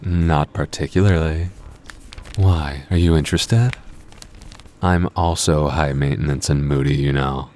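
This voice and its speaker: A man acts out a cocky vampire coworker in an ASMR audio roleplay. His voice is extremely low, with a smug, flirtatious, dryly teasing delivery. Some background noise sits under the voice. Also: steady tone, measured pace, a little mellow. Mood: wry, cocky. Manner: smug, flirty, dry teasing with sighs and chuckles. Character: cocky, teasing, moody male vampire convenience-store coworker. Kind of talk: intimate ASMR roleplay acting.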